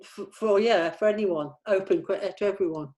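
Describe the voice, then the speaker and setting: A woman in a casual planning chat about a script. She speaks in a halting, tentative way at a middling pitch, like someone organising the group as she thinks aloud. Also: darkish tone. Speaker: writer organising a script table read.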